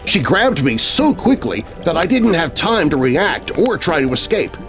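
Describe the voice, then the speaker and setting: A man's voice: fairly high pitch, very animated, unhurried. A man narrating a scary children's story in a dramatic, scripted first-person style. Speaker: narrator of children's horror stories. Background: music bed.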